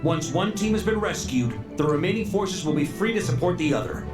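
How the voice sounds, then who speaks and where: A man's voice: high voice, animated, a little fast. An actor voicing a confident male military commander who gives decisive orders. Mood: commanding. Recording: game audio with reverb over music.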